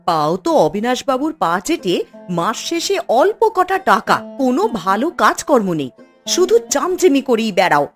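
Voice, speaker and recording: A woman playing a sharp-tongued, discontented young village wife in a cartoon, nagging and defiant. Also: on the high side, very animated, moderate pace, soft background music. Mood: angry, taunting. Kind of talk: scripted cartoon dialogue.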